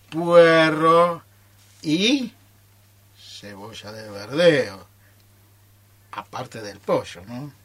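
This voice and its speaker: A man's voice: on the high side, a bit bright, full character voice. A man with an Argentine accent acting a stubborn, teasing keeper of a secret recipe in amateur radio theatre, with coy, theatrical delivery. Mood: showy, festive.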